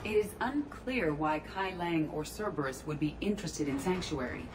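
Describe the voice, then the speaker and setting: A woman's voice: low-pitched, animated, moderate pace, background noise. A woman playing a precise, analytical starship AI in a video game. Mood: calm. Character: calm female ship AI.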